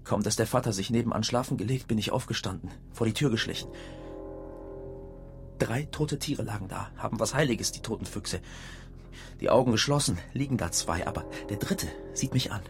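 An actor playing a haunted, dreamy hunter's son in a poetic radio monologue, absorbed and halting. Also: male voice, on the low side, over music, natural voice. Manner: absorbed, halting, broken-off sentences.

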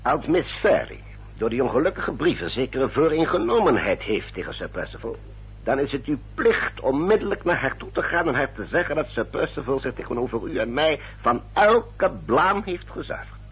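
A man playing an older, formal Victorian gentleman adviser in a Dutch radio drama, rough-voiced, deliberate and emphatic. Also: medium pitch, big pitch swings, exaggerated voice. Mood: earnest, persuasive. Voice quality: husky, rough. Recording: dull, muffled old radio recording.

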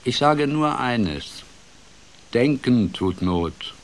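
A man voicing a wise, stern female owl who lectures with measured authority in a children's radio play. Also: mid-range voice, lively intonation, pitched above the performer's own voice. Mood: admonishing.

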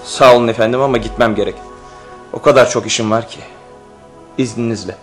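A man's voice: mid-range voice, fairly even intonation, brisk, soft background music, close to an everyday voice. A man acting in radio theatre as a polite messenger who reports news in a formal, respectful manner. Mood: formal, earnest. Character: polite, deferential male Caucasian messenger bearing news.

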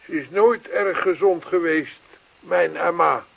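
Creaky, high-voiced old widower. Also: male voice, slow pace, full character voice. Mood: wistful. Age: older. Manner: earnest, old-fashioned, repeats himself. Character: kindly, talkative, lonely old widower visiting neighbours. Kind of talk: radio play acting. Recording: dull, lo-fi old radio play recording.

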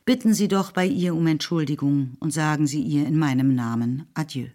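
A woman's voice: sits low, moderate pace, mellow. A woman giving composed, scripted literary narration as the storyteller of a radio play.

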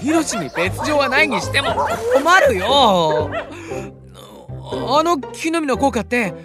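A boyish, good-natured younger brother with a high, very animated voice. He talks casually and excitably in audio drama style, with music clearly behind. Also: slow pace, a loud crowd, exaggerated voice. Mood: flustered. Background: music and animal sounds.

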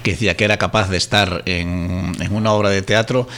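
Fast, low-voiced Castilian man. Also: fairly even intonation, a bit bright. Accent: Castilian Spanish, Spain. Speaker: radio show participant. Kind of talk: casual discussion.

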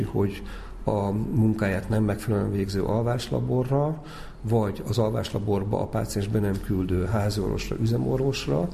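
A man's voice: fairly low pitch, gravelly, dark tone. A man giving an explanatory interview as an expert. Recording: faint background noise.

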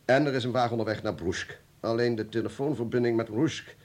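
An actor playing a stubborn, agitated communist security official in a Dutch radio play, insistent and defensive, with an authoritative manner. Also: male voice, mid-range voice, fairly quick, darkish tone, natural voice. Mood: curt.

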